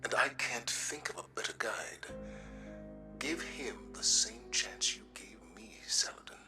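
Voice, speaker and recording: Man as a hushed, measured military commander. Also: fairly low pitch, whispered, lightly acted. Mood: earnest, persuasive. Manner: calm, sincere, measured. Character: measured, earnest male military commander, loyal and persuasive. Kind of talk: dramatic video game acting. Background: music.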